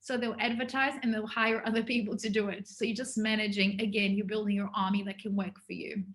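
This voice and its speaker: A woman's voice: medium pitch, level tone, a little fast. A woman giving an informal business workshop to students, talking in a conversational, enthusiastic way.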